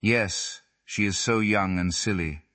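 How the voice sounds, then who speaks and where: A man's voice: low voice, slow, a bit bright. A man voicing a fretful young wife who chatters in old-fashioned, gossipy domestic speech in an audiobook.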